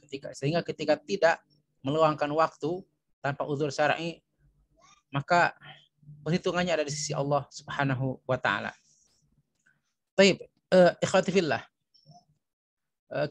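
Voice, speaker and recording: An Indonesian man gives an online language lesson in a moderately high voice. The sound is dry, with hardly any room on it. He explains in an instructional way and repeats example phrases so learners can follow. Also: vocal fry, no room sound. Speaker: Arabic language teacher.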